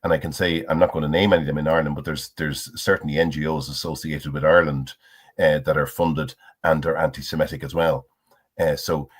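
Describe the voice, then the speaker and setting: A man with an extremely low voice joins a panel discussion. He speaks slowly and stops often on "uh" and "um". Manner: hesitant, heavy uh and um fillers. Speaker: panel guest.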